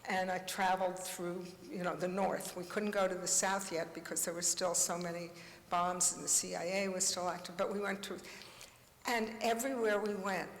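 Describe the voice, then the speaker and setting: A woman's voice: fairly low pitch, slight background noise. A woman in her seventies, speaking on a conference panel in a passionate, blunt way with asides and short laughs. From United States. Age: 70s. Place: large conference hall. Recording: echoey hall with long reverb.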